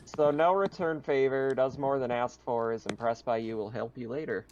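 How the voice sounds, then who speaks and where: A man's voice: mid-range voice, steady tone, normal speed, highs cut off, background noise. A man running a tabletop roleplaying game, explaining rules and narrating in a casual, conversational way. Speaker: game master.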